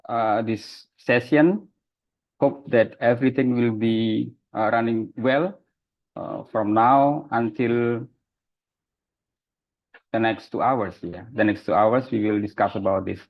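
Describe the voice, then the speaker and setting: Slow, halting male webinar moderator. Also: medium pitch, creaky, muffled recording. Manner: halting, frequent fillers, formal honorifics. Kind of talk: formal webinar opening. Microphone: video call.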